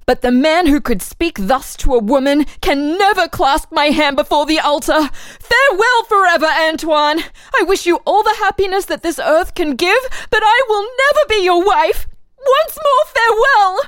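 An actress playing a proud, resolute young village maiden, formal and declamatory in a melodramatic reading. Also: female voice, extremely high pitch, animated, moderate pace, no echo, studio quality. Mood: reproachful, then wounded farewell.

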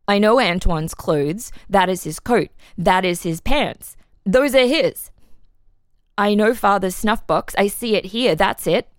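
A woman's voice: mid-range voice, measured pace, no echo, natural voice. Woman doing a little farm boy of ten on the stand, earnest n plain-spoken, very dry close recording. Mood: earnest, certain. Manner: simple, plain-spoken, answering questions literally. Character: ten-year-old farm boy, earnest young murder-trial witness. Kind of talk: dramatic reading of historical testimony.

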